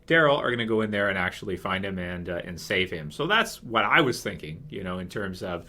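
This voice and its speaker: A man's voice: sits low, expressive, brisk, pushed and bright. A man hosting a casual fan commentary video, talking in a rambling way that circles back over his points. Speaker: video host.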